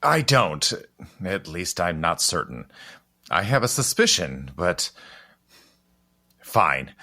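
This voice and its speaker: An actor playing a stern, guarded and protective gentleman with secret knowledge in an audio drama, speaking intensely with dramatic pauses. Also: sits low, big pitch swings, full character voice. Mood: guarded, grave.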